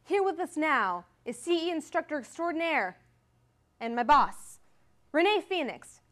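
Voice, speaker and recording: High-pitched peppy woman reporter. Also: big pitch swings, a little slow, a bit bright. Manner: exaggerated, peppy reporter delivery. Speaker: field reporter in a college's comedic promo newscast. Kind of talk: mock TV news report, scripted.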